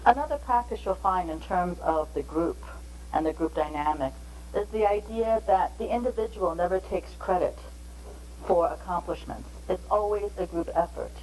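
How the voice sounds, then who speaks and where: Lower-voiced woman teaching interpreters, slow careful phrasing, bit of hum in the background. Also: darkish tone, poor audio. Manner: measured, explanatory, with pauses between phrases. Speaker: interpreter trainer. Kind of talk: training lecture.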